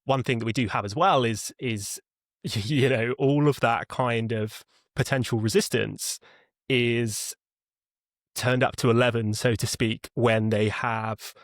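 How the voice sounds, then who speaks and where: A man's voice: medium pitch, steady tone, measured pace, dry recording, clean studio sound. A man being interviewed as a podcast guest, explaining conversationally, rambling and repeating words as he thinks. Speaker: podcast guest, head of customer experience.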